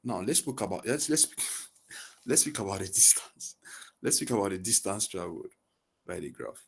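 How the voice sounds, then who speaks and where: A man runs an online physics lesson through a headset on a video call. His voice sits fairly low, and he quizzes his students as he goes, answering the questions himself. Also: animated, normal speed, vocal fry, slightly rough. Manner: asks leading questions and fills in the answers. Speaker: physics tutor.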